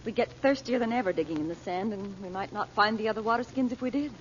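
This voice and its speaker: A woman's voice: mid-range voice, fast talker, natural voice. An actress playing a worried, practical middle-aged mother in an old radio serial. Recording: muffled old radio, heavy noise.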